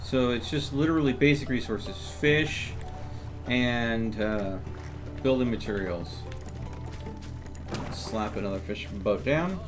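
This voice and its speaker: A man's voice: mid-range voice, slow, long pauses, music in the background. A man hosting a livestream, casually talking through his game step by step and chatting with viewers. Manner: thinks aloud step by step.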